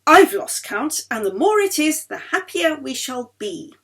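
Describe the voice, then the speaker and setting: Woman reader as hearty storybook gentleman of the thirties: teasing, gallant, loud and expansive, swooping intonation. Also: sits high, very animated, unhurried, gravelly, pitched above the performer's own voice, heavily acted. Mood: jovial, flattering.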